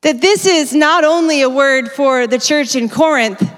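A woman delivers a sermon at a church conference, her voice sitting somewhat high. She talks in an energetic, conversational way and often corrects herself mid-sentence. Her stage mic carries the long reverb of a large hall. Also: unhurried. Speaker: conference speaker.

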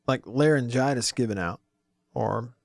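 A man hosting a sports radio talk show from a studio, hoarse today, chatty and jokey. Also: medium pitch, a little slow, no echo. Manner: chatty, jokey, lots of fillers.

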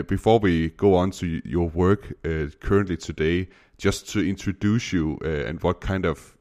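Casting: deep-voiced Danish-native podcast host, hesitant, lots of uhs. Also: male voice, a little mellow. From Denmark. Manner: hesitant, many uh fillers. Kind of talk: interview podcast.

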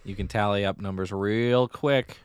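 Guy with a pretty low voice just chatting on a podcast, repeats himself for emphasis, really dry close sound. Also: fairly even intonation, normal speed, clean tone, no room sound. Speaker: podcast co-host. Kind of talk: casual chat about musical theater and pop culture.

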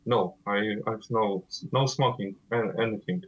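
A man from Poland with a Polish accent, guest vocalist of a death metal band, speaking halting non-native English with many fillers over a call. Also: fairly low pitch, husky, lo-fi sound.

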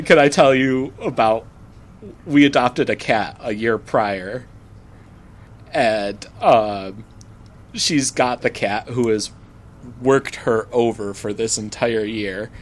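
Laid-back man guest host; slow-paced, playful teasing, quick laughs. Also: medium pitch. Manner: slow, teasing, laughs at his own jokes. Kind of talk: casual podcast chat.

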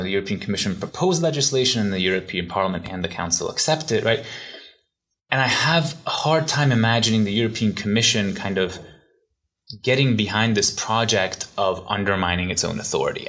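Thoughtful low-voiced man from Hungary. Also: a bit bright. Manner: thoughtful, with frequent restarts and fillers. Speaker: guest expert on the EU. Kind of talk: conversational interview answers.

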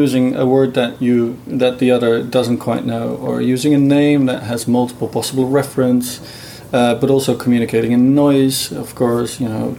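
A man's voice: medium pitch, creaky, mellow, slight background noise. A middle-aged man, a native Dutch speaker, explaining his linguistics research in a thoughtful interview with many fillers.

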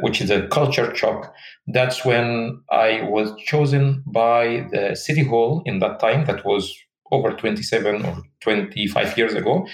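A man's voice: mid-range voice, echoey room, poor audio. A man in his forties from Egypt with an Egyptian Arabic accent, telling his life story earnestly as an interview guest and searching for words in his second language. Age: 40s.

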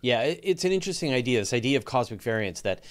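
A man hosting a science interview podcast, paraphrasing ideas in a conversational, halting way as he frames questions. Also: medium pitch, big pitch swings.

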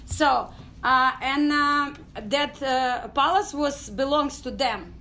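High-voiced Ukrainian woman telling her story. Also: sharp tone, a little hiss or hum. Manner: halting non-native English with many fillers. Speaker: guest, dance company founder. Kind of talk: storytelling interview.

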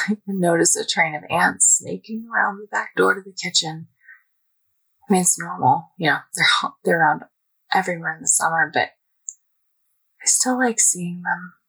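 Woman playing a dreamy diarist, low voice, gravelly, breathy and raspy. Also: moderate pace, pauses often, sharp tone, heavily acted, low-quality recording. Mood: reflective. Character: dreamy young woman recording her sleep diary. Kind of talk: sleep diary monologue in an audio drama.

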